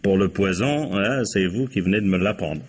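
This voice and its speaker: An actor playing a sardonic, commanding police inspector in a French radio crime drama, brusque and ironic. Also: male voice, deep voice, moderate pace. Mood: mocking, amused.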